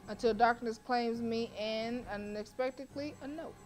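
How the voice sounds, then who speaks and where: A woman's voice: on the high side, moderate pace. A woman streamer who reads a horror visual novel aloud in a dramatic way and breaks off for profane, joking asides.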